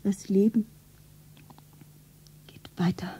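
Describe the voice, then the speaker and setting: Breathy, mellow, grieving young shop girl. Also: medium pitch, steady tone. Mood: crying whisper. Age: young adult. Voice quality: breathy, often whispering. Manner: slow, theatrical, self-narrating. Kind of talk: 1970s radio drama acting. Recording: dull radio play recording.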